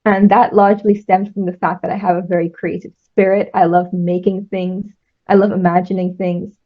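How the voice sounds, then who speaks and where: A young woman from Trinidad, answering interview questions in a measured, thoughtful way. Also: on the low side, flat delivery, dull audio. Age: young adult. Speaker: engineering student guest.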